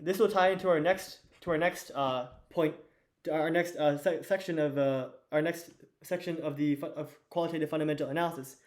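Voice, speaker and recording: A teenage boy with a hoarse, fading voice, teaching an investing lesson at a whiteboard in a loose, chatty way with lots of fillers and restarts. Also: a little high, fairly even intonation, fairly quick. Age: teens. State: hoarse, losing his voice. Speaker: student teacher of an investing course.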